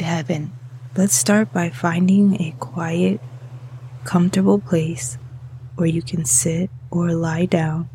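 A woman guiding a meditation as a narrator, speaking in a soothing, gentle and breathy manner. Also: fairly low pitch, a little hiss or hum. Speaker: meditation guide.

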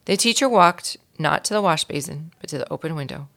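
A woman reading a children's story aloud in an expressive storytelling style, with a creaky voice. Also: deep voice, brisk. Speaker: volunteer reader of a children's book.

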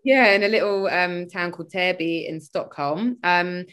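Woman, lower pitch, chatty, rambling, video call audio. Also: normal speed, fairly bright tone. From born in Sweden, grew up in London. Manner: chatty, rambling, frequent fillers and laughs. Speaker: guest, spiritual mindset coach. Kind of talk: casual conversational interview.

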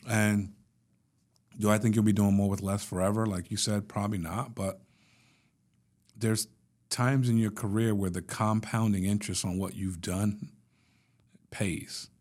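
A man's voice: fairly low pitch, little pitch movement, a little slow, long pauses, darkish tone. A man hosting a podcast, wrapping up an interview in a laid-back, slangy way. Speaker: podcast host. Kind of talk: casual interview wrap-up.

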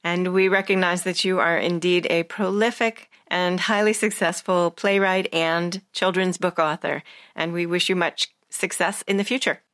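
A woman conducts an interview with polite formality. Her voice sits a little low and the sound is dry, with very little room around it. Her phrasing is careful and prepared. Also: fairly even intonation, normal speed, fairly bright tone, no echo. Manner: formal, courteous, carefully worded. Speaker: interviewer and director.

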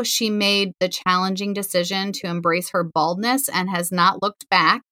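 A woman's voice: medium pitch, fairly bright tone, dry recording. Podcast host, woman, upbeat and earnest, dry close sound. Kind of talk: podcast interview.

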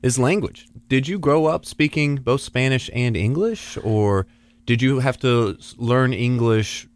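A man's voice: mid-range voice, a little slow. A man hosting an interview, asking a guest curious questions about her childhood and education. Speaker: podcast host.

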